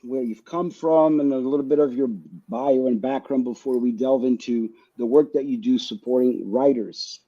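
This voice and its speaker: Male podcast host, eager and encouraging, warm tone, muffled recording. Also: medium pitch, steady tone, normal speed. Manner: enthusiastic, encouraging. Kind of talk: podcast interview.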